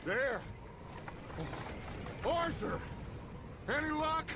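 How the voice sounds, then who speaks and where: A weary, earnest outlaw, pitched high and slow in delivery. It is dramatic game acting, with clear outdoor noise behind the voice. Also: male voice, expressive, exaggerated voice. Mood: urgent.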